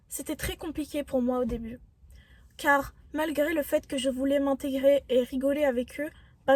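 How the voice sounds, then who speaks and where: High-voiced, mellow young woman vlogger. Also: no echo. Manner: reflective, earnest, encouraging. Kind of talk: motivational self-help talk.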